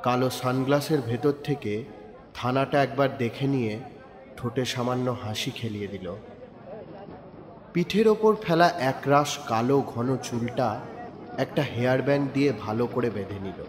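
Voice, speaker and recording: Man narrating a crime story. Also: medium pitch. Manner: measured, descriptive storytelling. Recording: reverberant room with background music. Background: soft background music.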